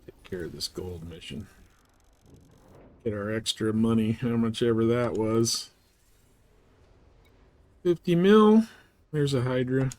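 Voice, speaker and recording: A man's voice: medium pitch, lively intonation, slow, pauses often, a little mellow. A man doing casual, laid-back live gameplay commentary, muttering to himself as he goes. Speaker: live game streamer.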